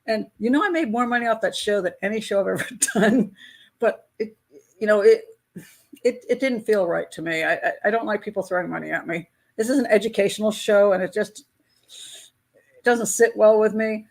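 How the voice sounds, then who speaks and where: A woman's voice: mid-range voice, brisk. A woman in her sixties hosting a casual live chat with her patrons, talking in a candid, chatty way. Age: 60s. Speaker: host of a crime-profiling channel.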